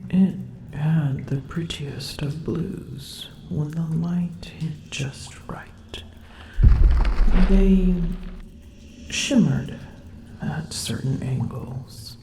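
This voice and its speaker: A woman voicing a weary, aloof and vain female dragon, speaking slowly in a languid, often whispered ASMR roleplay. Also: low voice, exaggerated voice. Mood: musing. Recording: roomy echo with loud ambient drips.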